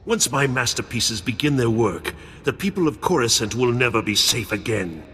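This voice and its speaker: An actor playing a grandiose, menacing cyborg mad scientist in a video game, speaking with theatrical contempt. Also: male voice, mid-range voice, lively intonation, normal speed, fairly bright tone, a little hiss or hum, close to an everyday voice. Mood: threatening, boastful. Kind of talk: video game cutscene acting. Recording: long hall reverb over music.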